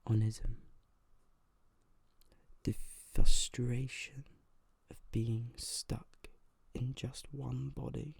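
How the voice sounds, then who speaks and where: An ASMR host reading aloud in a soft, breathy whisper, gentle and hushed, close to the microphone. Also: mid-range voice, little pitch movement, drawn-out words, long pauses, no room sound. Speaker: host of an ASMR video channel.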